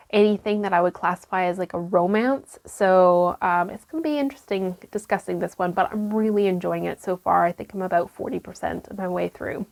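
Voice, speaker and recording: A young woman chatting casually to camera about her reading, her voice still croaky after illness, with lots of ums and restarts. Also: lowish voice. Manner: rambling, many ums and restarts. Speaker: book vlogger.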